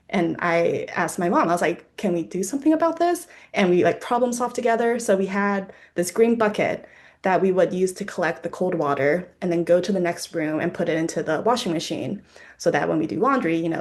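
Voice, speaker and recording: Creaky-voiced young American woman, fairly low. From Ohio, United States. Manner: informal, frequent "like" as a filler. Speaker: panelist. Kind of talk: reflective personal account in a panel conversation.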